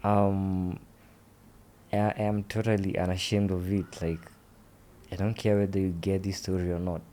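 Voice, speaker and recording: A man's voice: deep voice, flat delivery, moderate pace, dark tone. A man in his twenties, a podcast guest talking in a casual, hesitant way with many fillers. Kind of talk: casual interview chat.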